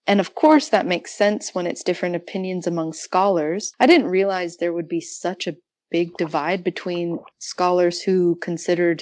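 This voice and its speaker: A woman with a slightly low voice speaks as an academic guest in an interview. She is conversational yet measured and articulate, recorded dry with hardly any room sound. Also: a little mellow, no echo. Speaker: podcast guest, academic researcher.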